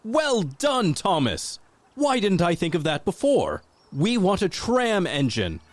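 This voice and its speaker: An actor playing a pompous, blustering railway controller in an audio storybook, speaking emphatically. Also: male voice, high-pitched, wildly swooping pitch, slow, dry recording, exaggerated voice. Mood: delighted. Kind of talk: audio storybook character acting.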